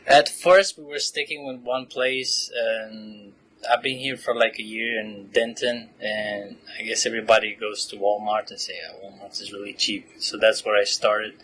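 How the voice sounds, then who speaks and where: Relaxed young man from Romania, low voice. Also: moderate pace, a little hiss or hum, rough recording. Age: 20s. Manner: relaxed, chatty, laughs often, many fillers. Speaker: podcast guest, PhD student. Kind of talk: casual interview answers.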